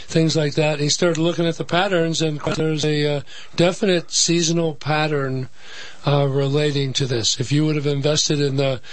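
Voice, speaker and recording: Slightly hoarse man, fairly high voice, dry studio sound, steady explainer on talk radio. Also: dry recording. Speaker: financial advisor guest. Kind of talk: radio interview. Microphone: studio microphone.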